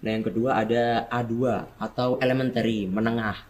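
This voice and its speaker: A teenage boy with a Javanese accent, a student guest on a school podcast, explaining things at length in a relaxed way. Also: mid-range voice, normal speed. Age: teens. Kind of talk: casual podcast chat.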